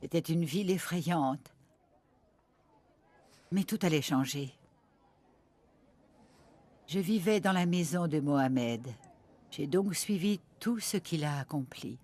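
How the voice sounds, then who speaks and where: An actor playing an earnest, devout witness from the Prophet's household, giving a sober recollection in animated-documentary narration. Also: male voice, fairly high pitch, slow, pauses often, a little hiss or hum. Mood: uneasy, earnest. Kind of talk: dramatized first-person narration for an animated documentary.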